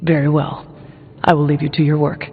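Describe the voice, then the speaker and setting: An actor playing a stoic, solemn warrior hero in a video game, speaking in terse, formal, earnest phrases. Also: male voice, fairly high pitch, monotone, moderate pace, creaky, breathy edge, long reverb tail, narrow, tinny audio, soft background music. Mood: polite, reserved. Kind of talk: video game character dialogue.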